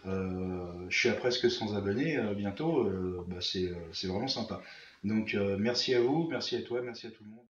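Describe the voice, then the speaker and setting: Male vlogger, fairly low voice, informal and halting tutorial chat, captured in a reverberant room. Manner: hesitant, rambling, familiar slang. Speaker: motorcycle vlogger. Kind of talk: casual tutorial chat with thanks to viewers. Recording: echoey room, muffled, faint noise.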